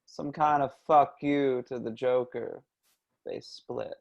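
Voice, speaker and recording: Guy acting a blunt menacing henchman, medium pitch, short words. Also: fairly even intonation, slow, creaky, darkish tone, lo-fi sound. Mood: curt. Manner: terse, blunt. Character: curt, menacing male henchman. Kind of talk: amateur table read over video call.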